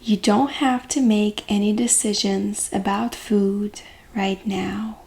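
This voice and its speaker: Female meditation guide: very unhurried, calming coach delivery, mid-range pitch, gentle phrasing with long pauses. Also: gravelly.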